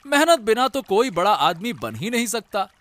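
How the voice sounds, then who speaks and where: A man's voice: high-pitched, very animated, moderate pace, fairly bright tone. A man playing a simple, honest, talkative younger boatman in a cartoon story, exclaiming and repeating his words. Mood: friendly, earnest. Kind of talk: Hindi cartoon story dialogue.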